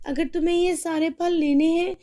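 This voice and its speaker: Casting: woman as poor young fruit-seller girl, cartoon story, extremely high voice, plaintive and pleading. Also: little pitch movement, moderate pace, mellow. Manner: plaintive, protesting. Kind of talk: cartoon fairy-tale dubbing.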